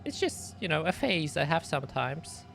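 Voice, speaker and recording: A man's voice: a little high, very animated, moderate pace, vocal fry, fairly bright tone, soft background music, voice pushed up, exaggerated voice. A man voicing a polite, cheerful talking flower in a tabletop fantasy game, with an airy, wondering manner. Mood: nonchalant.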